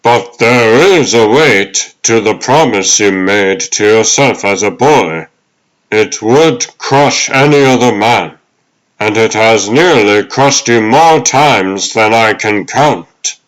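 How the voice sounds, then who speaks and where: Man playing a slow-speaking, fatherly ghost of an old butler. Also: on the low side, lively intonation, low-quality recording. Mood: solemn, caring. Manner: deliberate, earnest, fatherly. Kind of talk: comic dub dramatic monologue.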